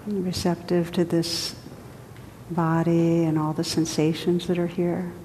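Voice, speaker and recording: A woman leads a guided meditation in a soft-edged, mellow voice that stays very level. She speaks gently and takes her time, leaving space between short phrases. The sound is reverberant, like a large hall, with a faint rumbling noise behind her. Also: level tone, unhurried. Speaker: meditation teacher.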